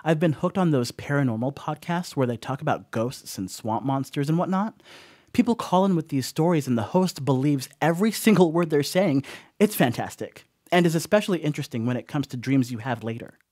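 An actor playing a sheepish young stoner house-sitter in an audio horror monologue, rambling apologetically with casual asides. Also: male voice, on the high side, lively intonation, brisk, a little mellow, no echo, studio quality. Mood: chatty, digressive.

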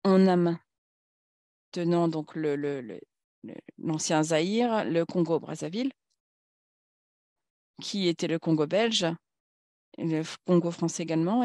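A woman speaking at a conference in a formal political talk, halting and searching for words as she goes. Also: on the low side, darkish tone, dry recording.

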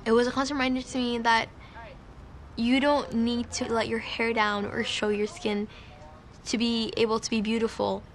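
A woman's voice: on the high side, level tone, normal speed. A young woman in her late teens from Syria, talking casually and personally, as in a documentary interview. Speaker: documentary subject, high school student.

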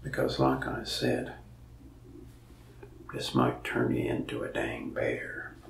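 A young man speaking softly, half-whispering as a folksy, rambling mask shop salesman. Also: extremely low pitch, flat delivery, slow pace, vocal fry, reverberant, recorded from a distance, slight background noise. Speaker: ASMR creator playing a mask shop salesman. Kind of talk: soft-spoken ASMR roleplay. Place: indoors, small room in front of a wall of masks.

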